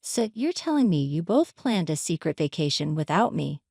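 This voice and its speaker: Female narrator as hurt, indignant wife, pleading yet confrontational, animated intonation, dry story recording. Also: sits low, moderate pace, gravelly, slightly rough, no room sound, pitched below the performer's own voice, exaggerated voice. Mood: hurt, demanding. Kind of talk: dramatized story reading.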